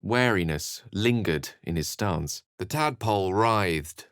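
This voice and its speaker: Casting: grave adventurer voicing inner thoughts in a game, very low voice, dry close sound, solemn and dramatic. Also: male voice, expressive, takes it slowly, fairly bright tone, clean tone, dry recording, heavily acted. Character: grave male adventurer narrating his own haunted thoughts. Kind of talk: scripted first-person game narration.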